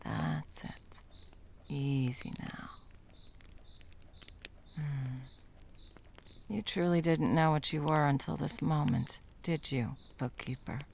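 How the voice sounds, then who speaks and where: Woman acting a creaky-voiced, low, menacing female monster hunter. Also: darkish tone, slight background noise, heavily acted. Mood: hushed, softening. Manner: deliberate, taunting, dramatic. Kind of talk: ASMR roleplay acting.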